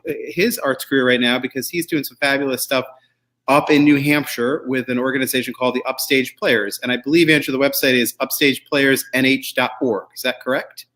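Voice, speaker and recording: A man's voice: mid-range voice, a bit bright. Casual, rambling male host. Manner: rambling, jokey. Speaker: show host. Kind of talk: casual, chatty show hosting.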